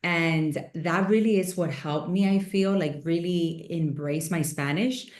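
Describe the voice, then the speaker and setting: A woman's voice: fairly low pitch, a little slow, a little mellow, reverberant. A woman from Miami co-hosting a podcast, chatting casually and telling stories with lots of fillers. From Miami, USA. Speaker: podcast co-host. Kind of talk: casual chat.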